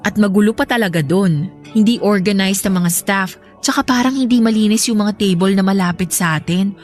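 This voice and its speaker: A woman's voice: medium pitch, brisk, lightly acted. A woman playing a practical, contented girlfriend in an audio love drama, with a conversational, reasoning manner. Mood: fond, chatty. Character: contented adult girlfriend, practical, unconvinced about marriage. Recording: music bed behind the voice.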